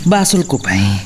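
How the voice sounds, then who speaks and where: A man's voice: a little high, distant mic, background noise, full character voice. An amateur actor playing a cocky young man who boasts and laughs, dropping English words into his talk. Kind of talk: amateur drama acting.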